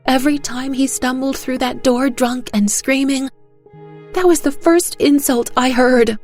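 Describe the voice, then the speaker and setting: A woman voicing a grieving, battered woman with a raw voice in a dark audio story. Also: high voice, steady tone, a little slow, faint music behind, pitched above the performer's own voice. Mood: anguished. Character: grieving, battered woman confessing her loss.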